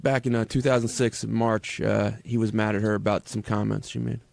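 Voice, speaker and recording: A man in casual radio discussion, speaking haltingly as he thinks aloud. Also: fairly low pitch, level tone, creaky, a little mellow. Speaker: radio show staffer. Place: radio studio.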